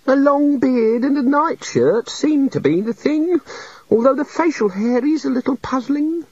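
A man's voice: very high voice, expressive, measured pace, darkish tone, no room sound, highs cut off, voice pushed up, exaggerated voice. A man voicing a meek, gloomy male island god with a sad, fussy manner, in an audiobook reading. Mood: musing.

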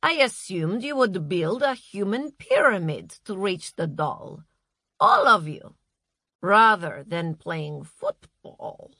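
Woman putting on a slow, serenely fanatical female guru. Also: mid-range voice, big pitch swings, sharp tone, no room sound, clean studio sound, exaggerated voice. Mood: pleased, smug. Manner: slow, deliberate, patient condescension.

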